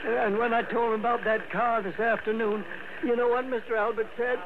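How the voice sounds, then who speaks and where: A man's voice: very high-pitched, little pitch movement, fairly quick. An actor playing a frail, distraught old American night watchman who talks in a rustic, repetitive way, in old-time radio drama style. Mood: plaintive. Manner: rustic, repetitive, plaintive way of talking. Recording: tinny, noisy vintage radio recording.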